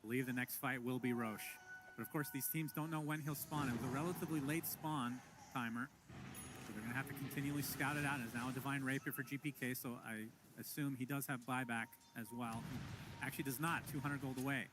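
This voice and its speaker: Excitable man casting an esports match. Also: medium pitch, fairly quick, music in the background. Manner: excitable, breaks into exclamations. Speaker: esports caster. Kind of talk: play-by-play commentary.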